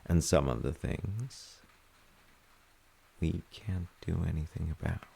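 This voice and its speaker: A man playing a gentle, thoughtful, reassuring dad in a soft-spoken comfort roleplay, hesitant and reflective, with a husky, gravelly voice. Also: low-pitched, a little hiss or hum, exaggerated voice. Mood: tender, reassuring. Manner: hesitant, reflective, pausing mid-sentence. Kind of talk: soft-spoken sleep-aid audio roleplay.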